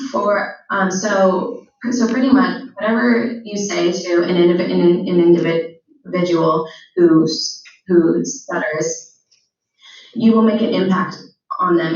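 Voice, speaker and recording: A teenage girl giving a school presentation, stuttering with repeated words and frequent fillers. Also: lowish voice. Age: teens. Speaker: student speaker. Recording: very echoey, distant, dull sound.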